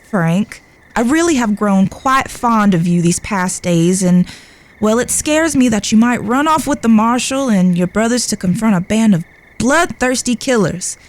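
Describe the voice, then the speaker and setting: Frontier sweetheart played by a woman: polite, tender, prone to fretting, fairly high voice, genteel delivery for western audio drama. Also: lively intonation, unhurried, rough recording. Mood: worried, affectionate. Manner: polite, genteel, proper phrasing. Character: genteel, tender, fretful Old West lady.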